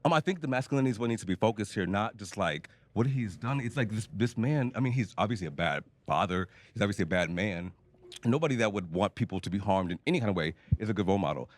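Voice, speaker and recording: Deep-voiced man speaking quickly and assertively. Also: expressive, dry recording. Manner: assertive, quick, redirects the topic. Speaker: panel debate guest. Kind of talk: panel discussion argument.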